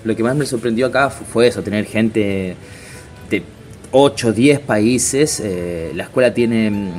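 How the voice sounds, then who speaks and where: Hesitant man in his thirties, low voice. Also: measured pace, a little mellow, soft background music. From Chile. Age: 30s. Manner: hesitant, many fillers and restarts. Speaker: interview guest, circus artist. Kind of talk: casual interview.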